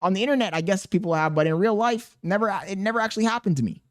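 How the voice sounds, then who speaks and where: A man's voice: sits high, racing. Blunt, mocking man with a thin voice. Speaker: reaction video host. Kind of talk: casual reaction commentary to chat.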